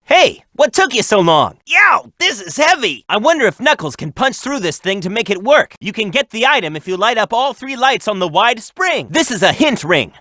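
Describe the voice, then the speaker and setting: A man voicing a cocky, energetic young cartoon hedgehog hero in a video game, brisk and confident. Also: high voice, dry recording, studio quality, exaggerated voice. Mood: alert, confident. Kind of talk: video game character voice acting, tutorial hints and quips.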